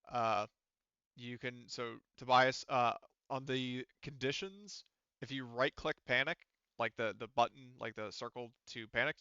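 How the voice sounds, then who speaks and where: A man acting as game master, explaining rules and turns in a halting, explanatory way over an online session. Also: medium pitch, sharp tone, smooth, no echo, dull audio.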